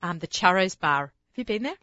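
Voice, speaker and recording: A chatty woman with an Australian accent chats and laughs on the air, her bright voice quick to jump in. The sound is dry and dull, like a close studio mic on radio. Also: fairly low pitch, expressive, no room sound. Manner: chatty, laughs often, repeats words. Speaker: radio host. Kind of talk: casual radio chat.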